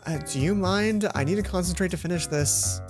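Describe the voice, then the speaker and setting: A haughty female class representative, as a woman plays her. She is suspicious and huffy, drawing out her words. It is a visual novel character with music clearly behind. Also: fairly low pitch, a little fast, lightly acted. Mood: grudging. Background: game music.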